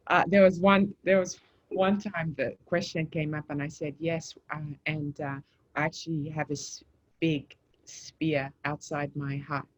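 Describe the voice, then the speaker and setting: Low-voiced woman from Zambia, relaxed storyteller, dull lo-fi video-call audio. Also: measured pace. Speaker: guest. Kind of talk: casual live-stream conversation.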